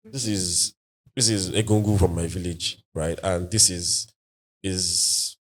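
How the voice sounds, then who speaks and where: Nigerian male artist, 40s, Igbo first language; deep, low register, reflective, hesitant delivery full of restarts. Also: steady tone. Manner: thoughtful, halting, with many fillers and restarts. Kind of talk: conversational panel answers.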